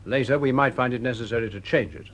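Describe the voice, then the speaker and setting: An actor playing a formal, authoritative police superintendent in an audio drama, speaking deliberately. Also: male voice, medium pitch, fairly bright tone, lightly acted. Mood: official, composed.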